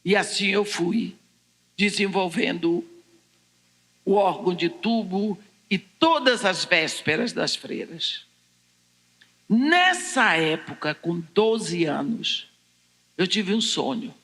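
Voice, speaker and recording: An older Brazilian woman giving a personal testimony as a preacher, telling it deliberately and dramatically in short phrases. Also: on the low side, lively intonation, fairly bright tone. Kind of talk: personal testimony told to a congregation. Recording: echoing large hall, long reverb.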